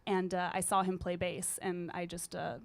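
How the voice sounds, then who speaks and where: A woman in her thirties, a singer-songwriter with a low, slightly gritty voice, chatting casually and loosely as a guest in a radio studio. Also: normal speed. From British Columbia, Canada. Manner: relaxed, rambling, with frequent fillers. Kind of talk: casual interview chat. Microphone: studio microphone.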